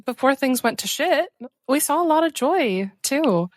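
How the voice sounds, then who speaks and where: A woman's voice: sits high, expressive, measured pace, dry recording, studio quality. A woman in casual, appreciative chat as a player on a tabletop game podcast.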